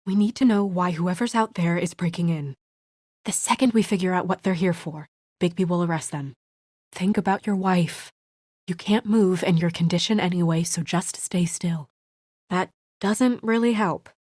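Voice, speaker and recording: A woman playing an earnest, weary and conscientious young administrator in a video game, speaking in a measured, serious, sometimes hesitant way. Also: fairly low pitch, darkish tone, dry recording, studio quality, natural voice. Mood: firm, urgent. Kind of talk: naturalistic video game voice acting.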